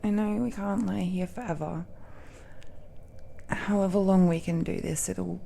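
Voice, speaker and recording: A woman playing an affectionate, possessive dragon-blooded girlfriend in an intimate romantic audio roleplay, speaking haltingly with breathy murmurs. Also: fairly low pitch, steady tone, a little hiss or hum. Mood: tender, cherishing. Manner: hesitant, halting, with breathy murmurs and soft laughs.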